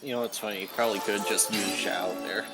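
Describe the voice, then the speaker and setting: A man's voice: on the low side, steady tone, fast. A man chatting casually as co-commentator on a game, with a dry, teasing manner. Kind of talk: casual gaming chat. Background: game music.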